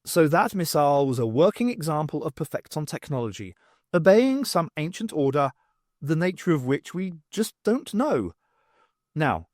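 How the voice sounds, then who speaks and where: A man voicing an earnest female senior academic in an audiobook, emphatic and measured. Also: a little high, a little mellow, dry recording, clean studio sound.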